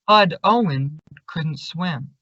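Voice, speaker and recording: A man's voice: on the high side, takes it slowly, bright, forceful tone, dry recording, exaggerated voice. An actor playing a suspicious, confrontational young man, insistent and accusing, in an old-time radio suspense drama. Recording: dull, lo-fi audio.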